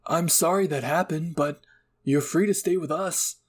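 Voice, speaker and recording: A man's voice: on the high side, normal speed, pitched above the performer's own voice, lightly acted. A man voicing a cheerful, earnest young male fantasy adventurer in an anime fan roleplay, friendly and enthusiastic. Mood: gentle.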